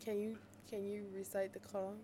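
A young woman co-hosting a casual podcast, quick to laugh and asking probing questions. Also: mid-range voice, flat delivery, background noise. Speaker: podcast co-host. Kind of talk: casual chat.

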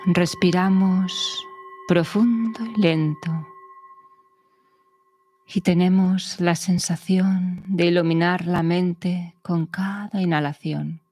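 A woman guiding a meditation in a soothing, measured manner, speaking in short phrases with long pauses. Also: on the low side, steady tone, darkish tone, slightly rough, soft background music. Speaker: meditation guide. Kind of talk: guided meditation narration.

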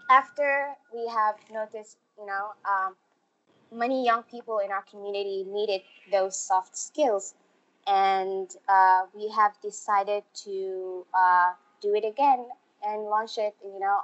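A young woman from Somalia, a refugee youth program organizer, answering interview questions slowly with many fillers. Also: medium pitch, a bit bright. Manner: slow, halting, many fillers. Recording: muffled lo-fi audio.

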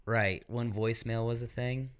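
A man in his thirties hosting a podcast, chatting casually and telling stories in a deeper voice. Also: steady tone, normal speed, heavy background noise. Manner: chatty storyteller. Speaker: podcast host. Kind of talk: casual chat.

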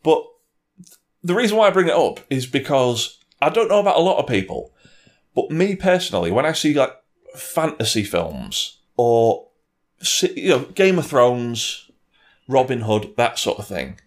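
Wry man with very animated, swooping delivery. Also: medium pitch, measured pace. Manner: wry, sweary, puts on mock voices while ranting. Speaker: film and game reviewer in a two-person discussion. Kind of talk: casual opinionated chat.

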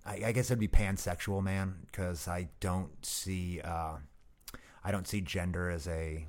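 Deep-voiced man chatting haltingly. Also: fairly even intonation. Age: 30s. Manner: halting, many restarts and fillers. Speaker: guest comedian. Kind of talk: casual interview chat.

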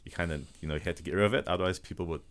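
A man from Malaysia with a slight Malaysian accent, a guest talking earnestly and haltingly in a casual podcast interview. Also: low voice. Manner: earnest, halting, thinks aloud. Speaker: guest, robotics business founder.

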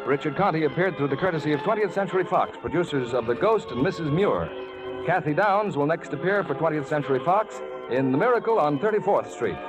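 A man's voice: on the high side, animated, brisk, poor audio. A man announcing credits and reading advertising copy in a scripted 1940s radio style, on an old broadcast. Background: music.

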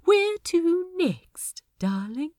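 A sweet, proper, gushing gentleman acted by a woman in an audio drama, fond and bookish. The voice sits a little high and leaps up and down dramatically, with unhurried phrasing and a dry, close sound. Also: very animated, no room sound, full character voice. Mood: adoring. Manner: fussy, polite, gushing. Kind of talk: amateur audio fiction acting.

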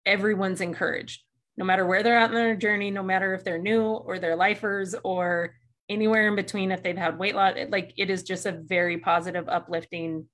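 A woman's voice: medium pitch, fairly even intonation, a little fast, fairly bright tone. A woman on a video call, speaking earnestly and gratefully in long run-on sentences. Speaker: community member. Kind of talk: casual chat.

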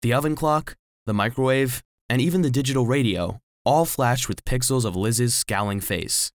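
A man's voice: mid-range voice, moderate pace, dry recording, close to an everyday voice. Earnest little robot storyteller, played by a man, dry studio sound. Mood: earnest, puzzled. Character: small devoted male household cleaning robot, narrating. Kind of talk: scripted children's audio drama narration.

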